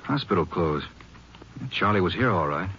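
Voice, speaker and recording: A man's voice: sits low, animated, moderate pace, fairly bright tone. A man acting an earnest, folksy Texas Ranger sidekick in a 1950s radio drama. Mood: concerned. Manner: folksy, plain-spoken. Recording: muffled, noisy old radio recording.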